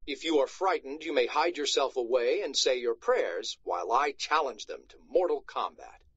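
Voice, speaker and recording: A grandiose storybook knight, put on by a man reading aloud, declaims his noble delusions with pompous conviction. The delivery swings dramatically and the voice is a little husky, on a dull recording. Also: medium pitch, animated, normal speed, fairly bright tone, no room sound. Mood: imperious. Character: grandiose, deluded old-fashioned Spanish knight, lean gentleman.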